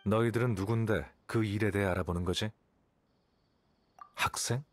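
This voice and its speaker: A man voicing a cool, blunt, calculating young scholar in a video game, with a detached, measured, condescending manner. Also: on the low side, fairly even intonation, close to an everyday voice. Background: game music.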